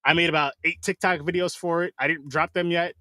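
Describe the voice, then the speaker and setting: A man hosting a podcast in casual chat, talking quickly and swearing freely. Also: fairly high pitch, no echo. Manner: rapid, animated, swears freely. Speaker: podcast host.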